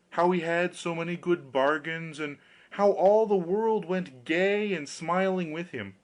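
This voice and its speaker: Man narrating fairy tales, lively swooping pitch. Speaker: audiobook narrator. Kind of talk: scripted fairy-tale narration.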